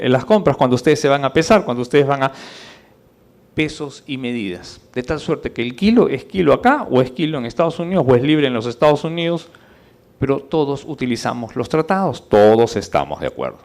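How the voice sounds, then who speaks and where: A man lecturing in public, didactic and rhetorical, with deliberate pauses, emphasis and questions to check that listeners follow. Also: fairly high pitch, a little mellow. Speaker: conference speaker.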